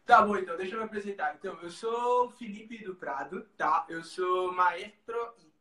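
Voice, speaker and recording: A Brazilian man, a language teacher, joining a livestream by video call and talking in a friendly, relaxed way. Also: sits high, moderate pace, reverberant, recorded from a distance. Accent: Brazilian Portuguese. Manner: friendly, relaxed, many "né" tags. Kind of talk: guest on livestream.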